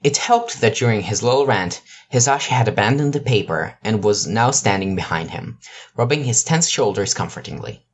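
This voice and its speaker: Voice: medium pitch, lively intonation, moderate pace, husky. An amateur narrator reading a scripted piece of fiction. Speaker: narrator, amateur podfic reader.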